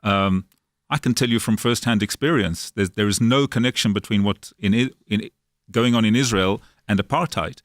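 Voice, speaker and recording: A man with a South African accent, a guest on a talk show, speaking in a measured, hesitant way with some fillers. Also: on the low side, dry recording, clean studio sound. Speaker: guest from the Jerusalem office. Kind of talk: studio talk show discussion.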